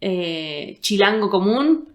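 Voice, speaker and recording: A woman's voice: mid-range voice, expressive, slow pace, echoey room. A young Argentine woman hosting a podcast, speaking in a dry, blunt, casual way. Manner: blunt, dry, uses "vos". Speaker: podcast host. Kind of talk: casual conversation.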